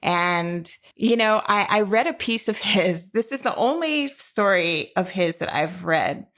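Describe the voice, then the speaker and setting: A woman's voice: medium pitch, animated, measured pace, a bit bright. An American woman co-hosting a podcast, talking about books in an effusive, emphatic way. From Jacksonville, Florida, USA. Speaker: podcast co-host. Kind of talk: casual literary chat.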